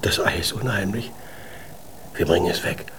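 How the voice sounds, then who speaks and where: A man playing a dreamy, skeptical husband in a children's radio drama, speaking in a gravelly, musing, half-whispered murmur. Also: deep voice, moderate pace, very noisy, close to an everyday voice. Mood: uneasy, incredulous. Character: dreamy, skeptical husband called Papa, fond of whimsy.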